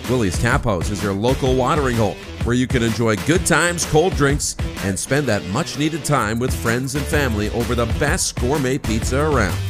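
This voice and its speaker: A man's voice: medium pitch, normal speed, hardly any pauses. A man reading a scripted advertisement as an announcer. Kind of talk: scripted commercial read. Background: guitar music bed.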